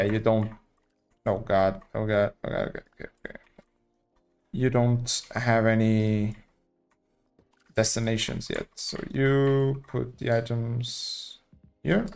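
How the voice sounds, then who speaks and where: A man's voice: mid-range voice, slow, vocal fry, long pauses, a little mellow, clean tone. A young man from Portugal, casually thinking aloud as he live-streams a building game. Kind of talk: live gameplay commentary.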